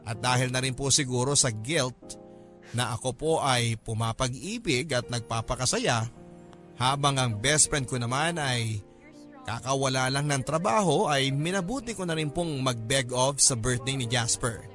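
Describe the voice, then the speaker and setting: A man's voice: mid-range voice, moderate pace, fairly bright tone. A man narrating a scripted first-person story as a radio host, polite and conversational. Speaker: radio drama host reading a letter. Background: background music.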